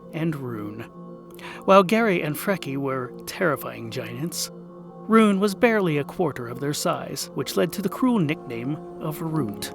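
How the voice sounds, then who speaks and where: A man narrating a comic fantasy tale as the show's host, theatrical, dropping to hushed whispers and rising to sudden bursts. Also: fairly high pitch, gravelly. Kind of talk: scripted audiobook narration.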